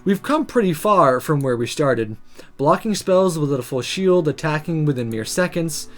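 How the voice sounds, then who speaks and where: A woman reading a first-person visual novel story aloud in an expressive, dramatic, introspective way. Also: deep voice, normal speed, faint music behind. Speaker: visual novel let's player.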